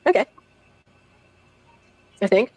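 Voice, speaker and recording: A woman's voice: slow pace, no echo. A woman casually telling a story on a stream, chatty, laughing often and acting out the dialogue.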